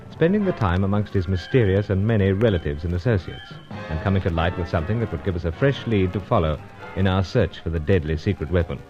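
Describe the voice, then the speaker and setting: A brisk British secret agent hero of an old radio serial. His voice is low and swings widely, with clipped, gentlemanly phrasing. The sound is dull and vintage, with music behind. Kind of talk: old-time radio serial acting and first-person narration.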